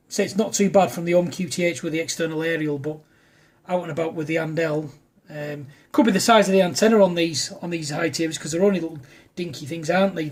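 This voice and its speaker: A man, an amateur radio operator, talking in a casual chatty style to a fellow operator. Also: a little high.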